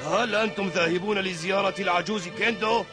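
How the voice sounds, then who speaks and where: A man voicing a bald old male kung fu master in a cartoon dub, calm, unhurried and teasing. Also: sits high, over loud music, natural voice. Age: older.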